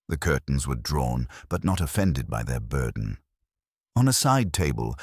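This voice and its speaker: Male narrator for literary mystery, very deep, expressive range, dry studio sound, measured and wry. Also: slightly rough, no echo. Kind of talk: scripted audiobook-style narration of a mystery story.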